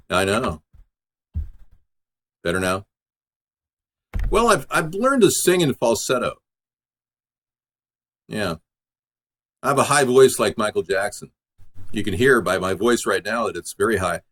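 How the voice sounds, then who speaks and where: A man with a lowish voice hosts a casual livestream. He talks in a loose, rambling way, as if answering people in a chat. His delivery is informal and conversational. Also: a bit bright. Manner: rambling, replies to viewers' messages. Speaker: livestream host and amateur musician.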